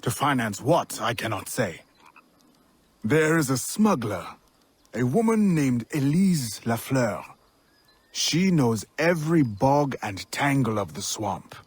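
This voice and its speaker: An actor playing a sober mentor in a video game, giving measured instructions. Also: male voice, mid-range voice, animated, husky, dry recording. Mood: grave, instructive. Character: sober male mentor briefing an assassin.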